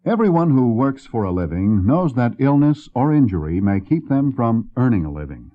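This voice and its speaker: A man's voice: mid-range voice, sing-song, moderate pace. A man reading a formal, scripted public service announcement. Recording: slightly dull, muffled recording.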